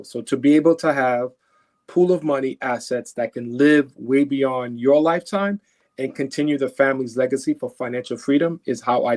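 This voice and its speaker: A man's voice: mid-range voice, darkish tone. A man from Haiti answering as an expert guest in an interview, explaining emphatically in a conversational way, voicing example thoughts and often checking in with short questions. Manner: explains through imagined first-person quotes.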